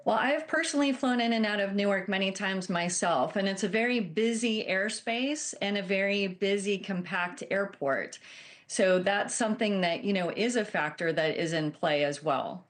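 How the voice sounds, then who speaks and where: A woman airline captain giving measured, earnest explanations as an expert guest in a news interview. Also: mid-range voice. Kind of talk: broadcast news interview answers.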